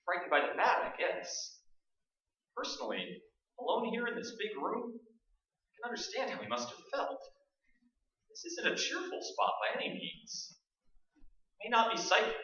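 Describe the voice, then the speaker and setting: Give a high, animated voice to a brash radio host on a ghost hunt , acted by a man on stage. He is a wisecracking skeptic who narrates aloud in radio play style. The sound is distant and echoey. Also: normal speed, pauses often, exaggerated voice. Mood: uneasy. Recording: roomy stage recording, distant, dull.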